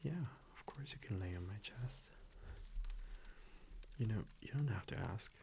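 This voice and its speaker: A man playing a tender, blunt vampire boyfriend in an intimate ASMR roleplay, murmuring softly and haltingly close to the mic. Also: lowish voice, lively intonation, noisy background. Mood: tender, soothing. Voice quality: breathy, gravelly murmur, often whispered. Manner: soft, halting, affectionate, with small pauses. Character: tender, blunt young male vampire boyfriend, teasingly possessive. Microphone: close microphone.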